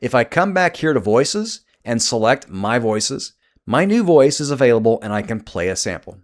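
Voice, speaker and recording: A man narrating a scripted software tutorial as its host. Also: medium pitch, very animated, moderate pace.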